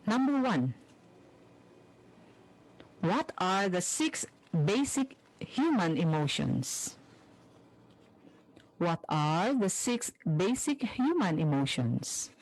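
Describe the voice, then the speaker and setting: A woman with a Filipino accent giving an instructional radio lesson as a teacher, in a deliberate way. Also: lowish voice, big pitch swings, a little mellow, low-quality recording.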